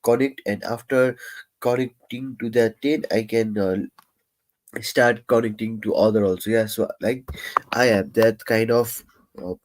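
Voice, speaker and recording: Slow, halting young man who stammers. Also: lowish voice, steady tone, slight background noise, low-quality recording. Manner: stammers, halting with many fillers and restarts. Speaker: live streamer. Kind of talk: casual reflective live-stream monologue.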